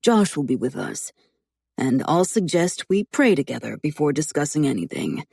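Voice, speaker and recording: A woman voicing a calm, teasing man in his late twenties who talks in a measured, reasoning way. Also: fairly high pitch, vocal fry, slightly rough, no room sound, studio quality, pitched below the performer's own voice, heavily acted. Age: 20s.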